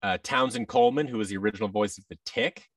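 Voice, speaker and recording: A man in his thirties with an American accent, chatting casually in an interview with halting, restarted phrases. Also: fairly low pitch, gravelly, breathy edge, no room sound, clean studio sound, far from the mic. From United States. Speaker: guest, voice actor and audio drama producer. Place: large office room, indoors. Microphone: headset with boom-arm microphone on a video call.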